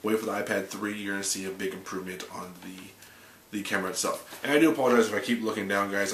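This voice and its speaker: A man's voice: lowish voice, a bit bright. A man in his thirties with a stuffy cold, hosting a chatty, upbeat tech news recap to a webcam in a small home office, reading from notes with restarts. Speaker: tech video host. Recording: echoey room, faint room hum.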